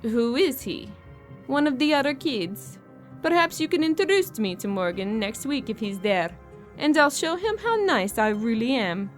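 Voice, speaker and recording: A woman's voice: on the high side, very animated, moderate pace, soft background music. A young woman acting a blunt, resentful au pair in an audio horror drama. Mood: probing, coaxing. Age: young adult. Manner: blunt, complaining.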